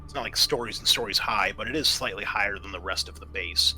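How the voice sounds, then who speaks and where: Male game master, lowish bright voice, conversational explainer of rules, faint noise behind. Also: quick pace, poor audio. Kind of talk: tabletop game narration and rules talk.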